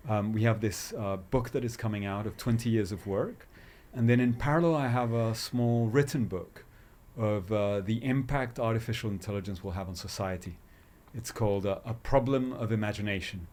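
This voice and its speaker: A man's voice: on the low side, moderate pace, darkish tone. A man from Chile, raised in London, talking conversationally as an artist guest in an interview.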